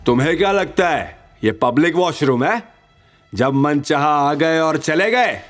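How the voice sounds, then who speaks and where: A man's voice: on the high side, expressive, unhurried, pitched above the performer's own voice. A man playing a rich, arrogant, lecherous villain in an audio drama, leering and condescending with a short temper. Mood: offended, menacing. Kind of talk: Hindi audio drama dialogue. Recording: faint music behind.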